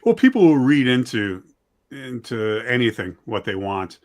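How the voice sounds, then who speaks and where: A man talking in a casual discussion, conversational and halting, telling things as anecdotes. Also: mid-range voice, expressive.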